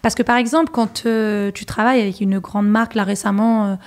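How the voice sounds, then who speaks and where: A lively woman in her thirties, born and raised in Algeria, talking as an interview guest. She speaks in a mid-pitched voice, laughs easily and piles up fillers and restarts as she thinks aloud. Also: a little fast. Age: 30s. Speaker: guest, journalist and TV presenter. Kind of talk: casual interview talk.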